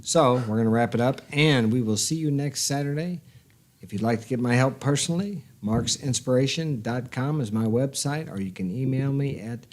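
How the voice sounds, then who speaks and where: A man's voice: mid-range voice, normal speed, darkish tone. Blunt folksy man losing his voice. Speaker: host giving relationship advice. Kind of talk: casual advice chat.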